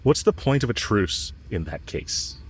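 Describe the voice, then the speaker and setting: Lovestruck villain played by a man, low-pitched, earnest and hesitant, intimate audio roleplay with ambient noise behind. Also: sing-song, a little slow. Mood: earnest, hopeful. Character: lovestruck male villain confessing to his enemy, yearning.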